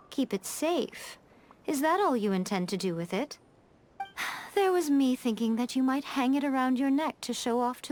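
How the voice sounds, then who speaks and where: An actress playing a sly, elegant fox shrine maiden in a video game, speaking in composed, articulate and playfully teasing phrases. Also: female voice, on the high side, a little mellow, a little hiss or hum, natural voice. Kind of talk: scripted video game dialogue. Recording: game music behind the voice.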